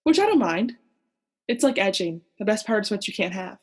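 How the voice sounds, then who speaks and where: A woman's voice: mid-range voice, sing-song, fairly quick, slightly rough. A young woman playing a melodramatic, lonely, self-pitying male diver in a comic radio play, with a theatrical manner. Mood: lonely griping. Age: young adult. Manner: theatrical, gushing then griping.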